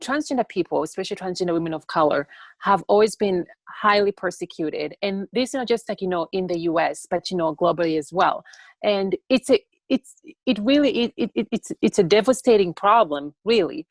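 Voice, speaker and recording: A young woman from Tanzania answering interview questions on a video call in an earnest, halting way that often repeats words. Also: mid-range voice, dry recording. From born in Tanzania. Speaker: interview guest, TikTok educator.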